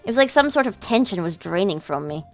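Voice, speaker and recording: A woman's voice: medium pitch, lively intonation, fast talker. A woman reading a visual novel aloud as a let's play host, with casual reactions of her own. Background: game music.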